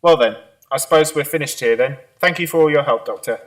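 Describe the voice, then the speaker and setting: A man playing a businesslike police boss in an amateur radio drama, measured, matter-of-fact and authoritative. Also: fairly high pitch, no room sound, lightly acted. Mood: thoughtful, measured. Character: businesslike male police boss briefing his detectives.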